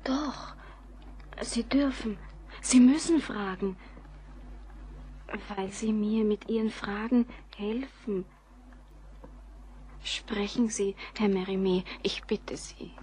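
An actress playing an anxious young bride resigned to her doom in a radio drama, speaking in a hushed, breathy, halting way. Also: medium pitch, slight background noise, lightly acted. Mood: pleading, tense. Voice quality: breathy, often near whispering. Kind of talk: German radio play acting.

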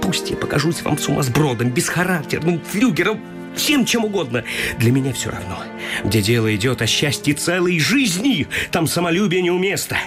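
An actor playing an impulsive, lovestruck young gentleman in a period comedy radio play, effusive, rhetorical and theatrical. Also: a little high, sing-song, moderate pace, over music. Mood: earnest pleading.

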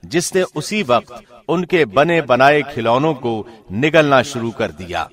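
A man reading a scripture translation from a script like a radio presenter, with formal, measured enunciation. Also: mid-range voice, expressive, fairly bright tone.

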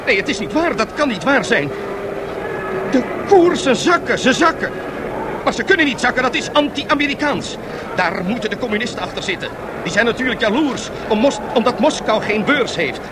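Against noisy city ambience, a boosterish 1920s stock-exchange radio reporter. His high voice swoops about as he pitches to his listeners in theatrical radio-drama commentary. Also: expressive, moderate pace. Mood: alarmed, flustered. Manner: theatrical, salesmanlike, addressing listeners directly. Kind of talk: 1970s Dutch radio drama, live broadcast commentary.

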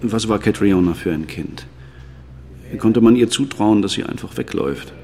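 Blunt probing cop in a radio play, really deep creaky voice. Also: male voice, animated, normal speed, mellow. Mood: probing, dry. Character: probing, blunt police investigator. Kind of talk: German radio drama acting.

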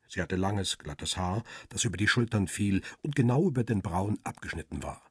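An actor playing a paparazzo who narrates his own story in a radio crime play, measured, observant and descriptive. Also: on the low side, a little mellow, slightly rough, dry recording, clean studio sound. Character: world-weary male paparazzo recounting his story, coolly observant. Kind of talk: scripted first-person radio drama narration.

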